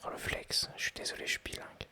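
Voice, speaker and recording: A man in his twenties whispering ASMR-style as he thinks aloud through quiz questions, hesitant and rambling with many pauses and restarts. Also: pushed and bright. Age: 20s. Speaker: ASMR creator.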